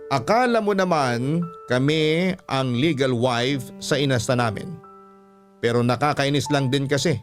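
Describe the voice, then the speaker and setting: A male storyteller reads a first-person tale in classic radio style. His voice sits at a medium pitch over soft background music. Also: unhurried.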